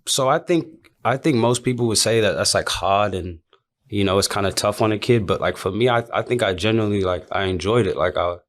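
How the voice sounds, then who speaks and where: Young man, deep low voice, laid-back, informal, conversational interview answers. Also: brisk. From South Sudan and Sydney, Australia. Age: young adult. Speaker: professional basketball player, interview guest. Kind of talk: casual interview chat.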